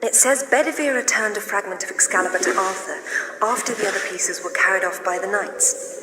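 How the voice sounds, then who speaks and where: An actress playing a bold, composed archaeologist in a video game cutscene, with a crisp manner. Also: female voice, mid-range voice, brisk, very noisy, lightly acted. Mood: cool. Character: bold adventurous British woman archaeologist. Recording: echoey game audio with loud music.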